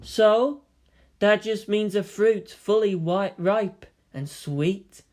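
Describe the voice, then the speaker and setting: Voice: mid-range voice, takes it slowly, darkish tone, voice pushed up, natural voice. A narrator voicing a haughty, lecherous seer who speaks inside a boy's head in a fantasy story. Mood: teasing, suggestive.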